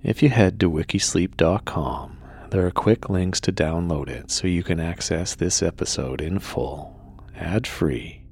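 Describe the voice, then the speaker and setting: Very deep-voiced man, softly spoken. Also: unhurried, gravelly, few pauses, husky. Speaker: podcast host. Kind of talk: soft sleep podcast announcement.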